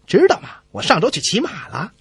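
A man's voice: high-pitched, a little fast, gravelly, slightly rough, no echo, pitched above the performer's own voice, heavily acted. A man playing an earnest, rattled hobby rider in a radio comedy sketch. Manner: earnest, excitable storytelling.

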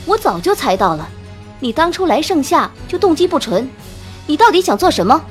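Woman acting a haughty, high-pitched young rich woman, easily enraged. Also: very animated, moderate pace, faint music behind. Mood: angry. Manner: sneering, sharp, accusing.

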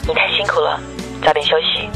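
A woman's voice: low voice, a little fast, creaky, poor audio. An actress in a radio drama playing a doting, clingy young girlfriend who is coy, tender and pouty. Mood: tender, wistful. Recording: music behind the voice. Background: background music.

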